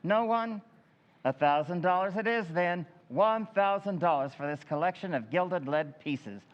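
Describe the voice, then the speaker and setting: An actor playing a small-town meeting chairman who reads a letter aloud and runs an auction, in an old-time radio play recreation, in a declamatory, brisk manner. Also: high-pitched, expressive, long reverb tail, dull audio. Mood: brisk auctioneering.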